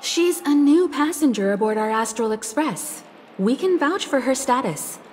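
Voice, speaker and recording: An actress voicing a poised, shrewd young woman navigator in a video game, courteous, measured and persuasive. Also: sits high, expressive, a little mellow, in a large hall, faint music behind. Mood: assured.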